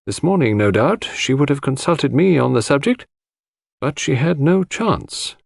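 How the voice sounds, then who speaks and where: A man's voice: mid-range voice, lively intonation, gravelly, no room sound, voice pushed up. Man playing a dry, insinuating lawyer. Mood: pointed, grave. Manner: formal, insinuating, deliberate. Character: dry, suspicious, measured male lawyer.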